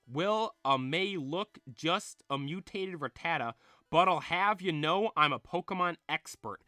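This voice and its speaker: Man doing a folksy, excitable rat creature, pitched up. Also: unhurried, dry recording, studio quality. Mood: boastful. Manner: folksy, blustering, bossy.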